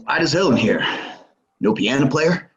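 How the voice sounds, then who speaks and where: An actor playing a menacing, distrustful gunslinger stranger in a Western audio play, talking in a terse, probing way. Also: male voice, mid-range voice, expressive, moderate pace, muffled recording, close to an everyday voice. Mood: gruff, weary.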